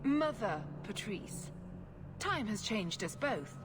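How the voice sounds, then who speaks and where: A woman's voice: mid-range voice, very animated, slow pace. An actress playing a cold, righteous, scheming female cleric in a video game, speaking formally and with condescension. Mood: cold, condescending. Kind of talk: video game cutscene acting. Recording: clear background ambient noise.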